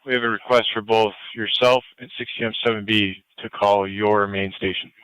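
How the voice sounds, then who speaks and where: Man, radio traffic, lowish clear voice, fast, businesslike. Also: steady tone, fairly bright tone, no echo, poor audio. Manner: quick, businesslike, radio codes. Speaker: radio dispatcher or responder. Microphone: two-way radio.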